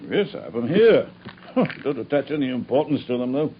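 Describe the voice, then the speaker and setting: An actor playing a matter-of-fact, sceptical police inspector in a mid-century radio drama. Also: male voice, medium pitch, sing-song, a little fast. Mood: puzzled, dismissive. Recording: muffled, faintly noisy old radio recording.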